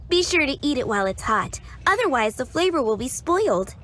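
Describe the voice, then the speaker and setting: A woman voicing a kind young female waitress who speaks sincerely and gently explains, in a game cutscene. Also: high-pitched, very animated, normal speed. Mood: cheerful.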